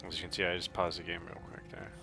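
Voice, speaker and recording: A man giving casual, halting, explanatory commentary for a video game walkthrough, with game music behind him. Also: sits low, little pitch movement.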